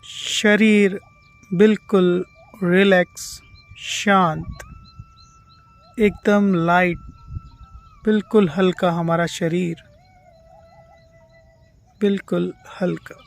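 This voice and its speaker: An Indian yoga nidra instructor guiding relaxation in a calm, soothing way with drawn-out instructions and pauses. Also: medium pitch, vocal fry. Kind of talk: guided relaxation meditation.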